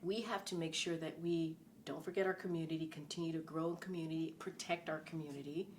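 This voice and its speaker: A woman's voice: sits low, steady tone, quick pace, a little hiss or hum. A middle-aged woman speaking as an interview guest, earnest and emphatic, often repeating key phrases. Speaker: interview guest, former press secretary. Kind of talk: conversational interview.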